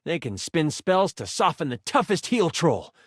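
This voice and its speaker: An actor playing an excitable, earnest living twenty-sided die who runs fantasy tabletop games with playful, theatrical storytelling. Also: male voice, a little high, normal speed, no echo, studio quality. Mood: explanatory, theatrical. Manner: expressive, playful, shifting into grand storyteller phrasing. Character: excitable, earnest twenty-sided die come to life, eager game master. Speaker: tabletop game master. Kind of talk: theatrical fantasy game narration.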